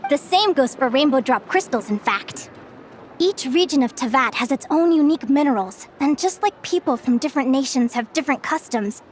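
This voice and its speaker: An actress playing a gentle, scholarly young woman in a game, telling stories in a measured, articulate and enthusiastic way. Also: high-pitched, a little hiss or hum. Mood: earnest wonder. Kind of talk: storytelling in an English game dub.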